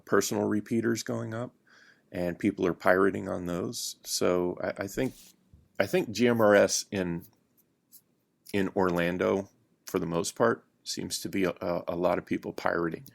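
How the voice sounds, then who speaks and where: A man chatting casually as a podcast guest, halting and often pausing mid-sentence as he thinks. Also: low voice, steady tone, creaky. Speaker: podcast guest, radio hobbyist. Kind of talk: unscripted casual chat.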